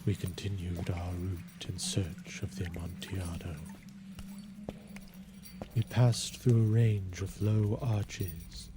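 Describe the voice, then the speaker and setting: A man narrating a gothic story in a measured, formal, dramatic style. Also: deep voice, fairly even intonation, noisy background. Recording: loud music behind the narration.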